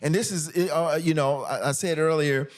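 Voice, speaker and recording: A man's voice: on the high side, steady tone, normal speed. A middle-aged American man, a podcast guest, telling personal stories casually in a candid, emphatic way.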